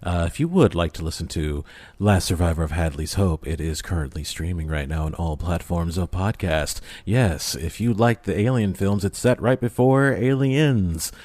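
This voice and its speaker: A man's voice: deep voice, normal speed, darkish tone, no echo. A man chatting casually as a podcast guest and voice actor, playful and joking with theatrical asides. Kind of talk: casual chat.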